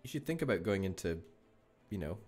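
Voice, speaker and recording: A man's voice: low voice, big pitch swings, a little fast, darkish tone, faint music behind. A man hosting a gaming video, chatting casually with wry, self-deprecating remarks. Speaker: gaming channel host. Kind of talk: casual let's-play commentary.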